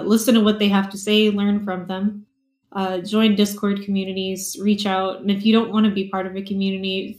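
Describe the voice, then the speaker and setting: A woman with a soft voice, a streamer speaking as a guest in a casual interview, measured and thoughtful. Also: mid-range voice. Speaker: podcast guest, full-time streamer. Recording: roomy echo.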